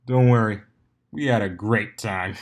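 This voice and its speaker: A man's voice: lowish voice, unhurried, close to an everyday voice. A man voicing a cocky, laid-back young male cartoon pony with a casual, boastful manner in a fan story reading. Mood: breezy.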